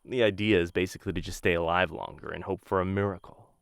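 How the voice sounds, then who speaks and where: A man playing a menacing, infatuated assassin in a boyfriend ASMR roleplay, speaking low, hushed and intimate into a close microphone, teasing and often slipping into whispers. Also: steady tone, quick pace, no room sound. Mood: mocking, calm threat. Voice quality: low, gravelly.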